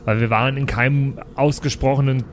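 A man's voice: medium pitch, fairly even intonation, fairly quick, a little hiss or hum, close to an everyday voice. A man voicing a grumbling dwarf in an improvised audio game, stiffly polite, dry and aggrieved.

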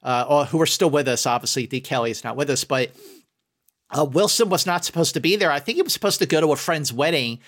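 Halting, emphatic man, slightly high voice. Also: a bit bright. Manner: halting, emphatic, repeats words. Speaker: guest film critic. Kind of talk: casual conversational discussion.

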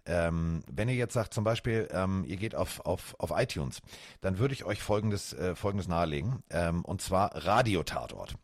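Podcast co-host, male, low-pitched and lively, dry booth sound. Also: normal speed, dry recording. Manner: animated, rambling with many fillers and restarts. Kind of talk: casual podcast chat.

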